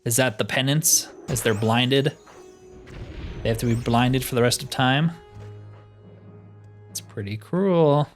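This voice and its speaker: A man's voice: medium pitch, slow, long pauses. Man casually narrating gameplay over music. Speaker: gamer narrating a roleplay playthrough. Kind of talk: casual gameplay commentary, thinking aloud. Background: game music.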